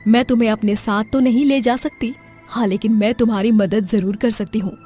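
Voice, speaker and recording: An actress plays a kind mother figure in a cartoon tale. Her voice sits a little high and sounds tender and concerned. Music plays behind her. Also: steady tone, brisk, warm tone, lightly acted. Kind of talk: cartoon story voice acting.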